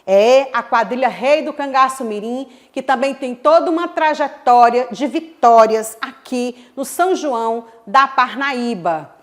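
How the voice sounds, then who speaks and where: A woman from Brazil, a history teacher giving a televised school lesson in an expressive, emphatic way. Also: sits high, measured pace, hardly any pauses. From Northeast Brazil.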